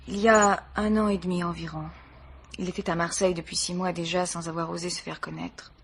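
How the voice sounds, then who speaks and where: An actress playing a grieving, dignified bourgeois mother in a radio mystery, speaking formally in a measured, sorrowful way. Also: fairly low pitch, flat delivery, gravelly, slight background noise. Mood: sorrowful, restrained. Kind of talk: French radio mystery drama acting.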